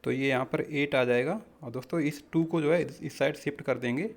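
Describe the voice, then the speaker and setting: A man's voice: mid-range voice, a little fast, vocal fry. A man teaching exam practice questions, explaining step by step in an instructional way and often addressing listeners as friends. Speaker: exam-prep physics teacher.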